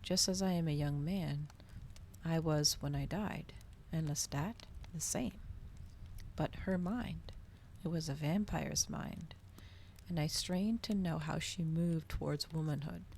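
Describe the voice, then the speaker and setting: A woman with a notably low voice reads fiction in a cozy ASMR style. Her delivery is soft, unhurried and dramatic, with plenty of gravelly fry at the edges. A faint noise sits behind the recording. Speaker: streamer reading a novel aloud. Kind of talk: cozy ASMR storybook narration.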